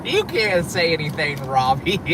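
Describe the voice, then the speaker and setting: Slow-talking joking man in his thirties. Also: a little high, very animated. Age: 30s. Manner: joking, laughs often, drawls. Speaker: co-host reading live chat. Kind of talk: casual live-stream chat. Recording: outdoor live stream, noisy, mic at a distance.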